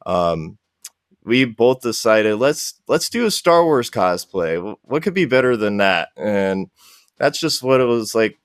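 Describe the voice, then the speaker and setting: A man's voice: lowish voice, sing-song, measured pace, no room sound, clean studio sound. A man speaking as a podcast guest in casual interview chat, with a loose, rambling delivery.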